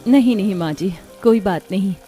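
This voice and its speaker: A woman's voice: medium pitch, normal speed, warm tone, lightly acted. A woman voicing a timid, secretive young daughter-in-law in a cartoon story, hesitant when questioned, with music behind. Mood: meek, troubled.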